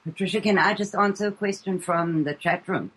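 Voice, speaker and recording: A low-pitched woman with an English-leaning South African accent talks as a guest on a radio show. Her voice is husky. She speaks earnestly, with many ums and repeated words as she finds her way. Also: fairly quick, low-quality recording. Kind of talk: casual radio interview chat.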